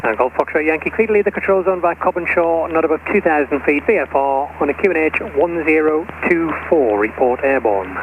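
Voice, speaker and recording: A man's voice: on the high side, animated, a little fast, hardly any pauses. A man working as an air traffic controller, giving procedural instructions over the radio in a clipped, courteous manner. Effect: air traffic radio transmission. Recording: narrowband aviation radio with clear noise.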